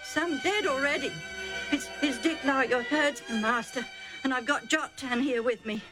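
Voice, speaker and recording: A rough-spoken male herdsman pleads breathlessly with his master, his voice pitched high and strained, as a woman puts him on. His speech is rustic and clipped. Faint music runs underneath. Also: moderate pace, close to an everyday voice, low-quality recording. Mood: urgent pleading.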